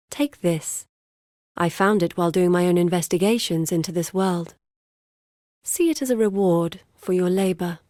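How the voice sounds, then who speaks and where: A woman playing an earnest, scholarly young daughter in a video game, speaking in a measured, formal, articulate way. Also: lowish voice, darkish tone, no echo, clean studio sound, close to an everyday voice. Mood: grateful. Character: earnest scholarly young woman, determined daughter seeking her lost father. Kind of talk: scripted video game character dialogue.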